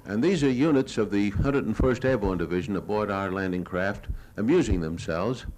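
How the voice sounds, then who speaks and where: Low-voiced older American man narrating film. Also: normal speed, darkish tone, a little hiss or hum, poor audio. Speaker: newsreel reporter giving a lecture. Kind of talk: live lecture narrating his own war film. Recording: 1970s archival lecture audio recording.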